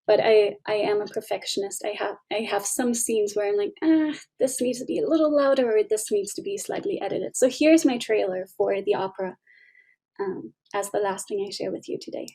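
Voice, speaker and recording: A woman's voice: medium pitch, warm tone, breathy edge. A woman presenting her project over a video call, talking informally and hurrying to fit her time. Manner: hurried, informal asides. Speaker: student conference presenter. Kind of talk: casual presentation. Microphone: video call microphone.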